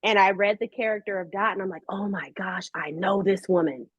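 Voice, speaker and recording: A woman's voice: medium pitch, fairly even intonation, fairly quick, husky, no room sound, highs cut off. A middle-aged American woman telling stories conversationally as an interview guest, emphatic and slangy, repeating phrases for effect. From United States. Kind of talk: animated conversational interview storytelling.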